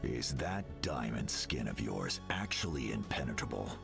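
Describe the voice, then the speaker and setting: Extremely deep, gravelly grim vigilante. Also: male voice, very animated, moderate pace, exaggerated voice. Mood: gruff, menacing. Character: grim, gruff, menacing gunman vigilante. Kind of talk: video game hero voice lines. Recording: game dialogue over loud music.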